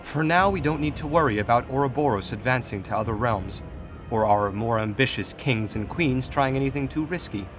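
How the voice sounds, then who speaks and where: A male actor plays a composed, shrewd god who holds authority and chides gently. His speech is measured, articulate and formal, at a middling male pitch. It is fantasy audio drama with music clearly underneath. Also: lightly acted. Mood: authoritative, pragmatic.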